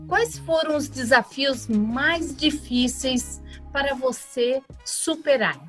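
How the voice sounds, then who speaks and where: Slow, deliberate woman podcast co-host. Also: high voice, a bit bright, soft background music. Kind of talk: reading a scripted introduction.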